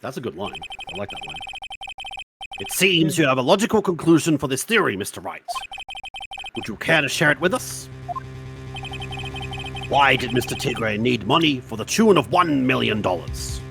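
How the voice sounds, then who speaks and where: Man doing a creaky, swooping old judge. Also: mid-range voice, very animated, moderate pace, pauses often. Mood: solemn. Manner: formal, befuddled. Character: doddering, clueless old male bearded judge. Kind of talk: fan dub of a video game. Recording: music playing behind the voice.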